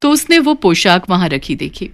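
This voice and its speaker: A woman narrating a scripted animated moral story in an expressive storytelling manner. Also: fairly low pitch, moderate pace.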